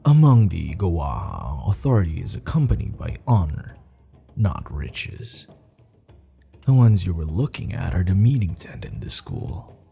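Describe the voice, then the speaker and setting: Man acting gravelly, slow-spoken proud barbarian warchief. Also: deep voice, huge pitch swings, dark tone, faint music behind, full character voice. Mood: proud, amused. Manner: slow, measured, stern yet amused, formal phrasing. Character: proud, teasing, commanding male barbarian warchief of a clan. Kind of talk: ASMR roleplay.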